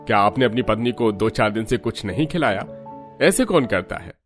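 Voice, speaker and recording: A man's voice: on the low side, lively intonation, normal speed, soft background music, close to an everyday voice. A man voicing a disapproving, reproachful doctor in a Hindi cartoon story, with a questioning manner.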